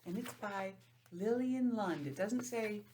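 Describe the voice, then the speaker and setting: A woman teacher giving an informal, encouraging lesson to young children, sounding out letters and counting deliberately. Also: medium pitch, warm tone, a little hiss or hum. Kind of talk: children's lesson, read-aloud introduction.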